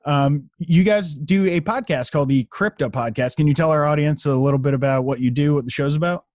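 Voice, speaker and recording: A man's voice: on the high side, a little fast, darkish tone, no room sound. A man hosting a podcast in a casual interview style. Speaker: podcast host.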